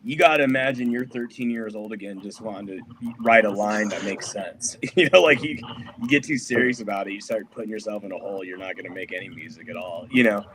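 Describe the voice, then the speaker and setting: A man's voice: on the low side, brisk, a crowd in the background. A middle-aged man from Colorado, a musician guest talking casually in a rambling, laid-back way. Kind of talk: casual podcast interview. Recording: noisy background on a remote line.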